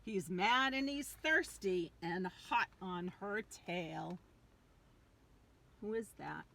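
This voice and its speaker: Female storyteller for kids' read-aloud. Leisurely delivery, lively swooping intonation, dramatic emphasis. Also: mid-range voice, creaky. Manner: dramatic, drawn-out emphasis on key words. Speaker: storyteller reading a picture book aloud. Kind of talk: expressive read-aloud for young children.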